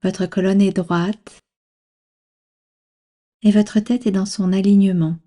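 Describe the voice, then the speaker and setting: A woman guiding a relaxation practice as a meditation instructor, speaking calmly and soothingly in measured instructions with long pauses. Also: medium pitch. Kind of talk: guided yoga nidra relaxation.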